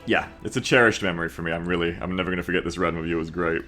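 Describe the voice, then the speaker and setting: Low-pitched man rambling on a game stream. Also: very fast. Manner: rambling, excitable, heavy swearing. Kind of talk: casual livestream chat to viewers. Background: music.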